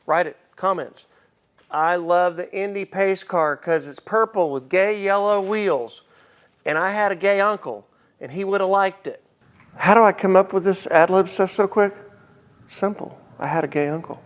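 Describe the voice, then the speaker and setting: High-pitched, blunt middle-aged man. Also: normal speed. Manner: blunt, joking, salty-tongued. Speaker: vlog host and car dealer. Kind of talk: casual, ad-libbed walkaround vlog.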